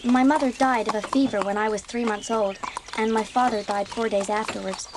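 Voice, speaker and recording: A woman's voice: fairly high pitch, steady tone, fairly quick, noisy background, close to an everyday voice. An actress playing an earnest, talkative, polite eleven-year-old orphan girl in a dramatised audiobook. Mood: earnest, matter-of-fact.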